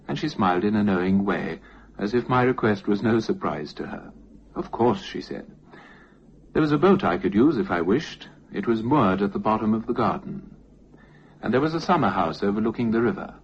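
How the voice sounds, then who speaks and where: A man reading a ghost story as scripted narration, in an expressive, theatrical manner. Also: fairly low pitch, moderate pace, breathy edge. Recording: muffled, noisy lo-fi recording.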